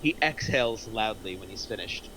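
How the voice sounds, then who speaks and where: A man's voice: lowish voice, expressive, moderate pace, noisy background. A man narrating stage directions from a script at an amateur online table reading.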